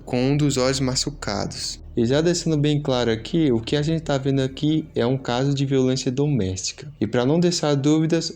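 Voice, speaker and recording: A man's voice: medium pitch, a little mellow. A man with a Brazilian accent narrating horror story recaps in an informal, explanatory, conversational way. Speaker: YouTube narrator.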